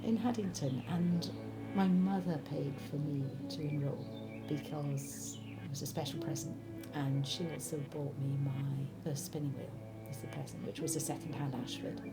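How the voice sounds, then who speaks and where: A woman's voice: deep voice, gravelly, rough recording. A woman, thoughtfully reminiscing in a documentary interview. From Wales. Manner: thoughtful, unhurried, warmly reminiscing. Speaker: interviewee, spinner and knitter. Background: background music.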